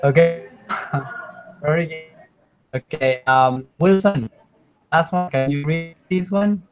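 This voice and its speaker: A man teaching an online English class, speaking slowly and patiently, often repeating himself. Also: fairly high pitch, dull audio, soft background music. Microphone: computer mic on a video call.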